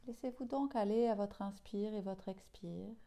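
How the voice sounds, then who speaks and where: A woman leading a guided yoga nidra meditation in a soothing, hushed, unhurried way with long pauses. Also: mid-range voice.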